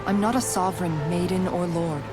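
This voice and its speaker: An actress playing a kind, steady warrior woman comforting friends in a video game cutscene. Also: fairly low pitch, a little fast, close to an everyday voice. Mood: reassuring. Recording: music behind the voice.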